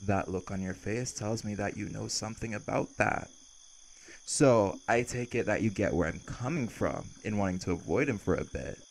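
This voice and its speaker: A man's voice: lowish voice, normal speed, vocal fry, slight background noise. A man playing a cheerful, cocky vampire who teases, flirts and casually threatens in an intimate audio roleplay. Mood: cheerful menace.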